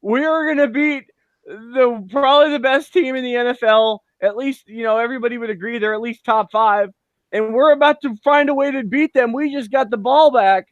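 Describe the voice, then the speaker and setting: A high-pitched man recaps a football game on a casual podcast. He speaks in a close, dry recording. He is excitable and jokey and swears freely. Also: moderate pace, no echo, dull audio. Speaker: podcast co-host. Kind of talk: casual sports chat.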